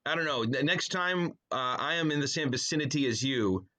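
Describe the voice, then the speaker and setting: A man's voice: mid-range voice, expressive, brisk, sharp tone. An American man chatting casually as a podcast guest, with a wry, joking manner. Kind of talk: casual chat.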